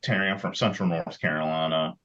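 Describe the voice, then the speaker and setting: A man from Maryland co-hosting an EMS podcast, talking earnestly with halting repeats. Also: extremely low pitch, steady tone, a bit bright. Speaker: podcast co-host, EMS instructor. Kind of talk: casual chat. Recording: dull lo-fi audio.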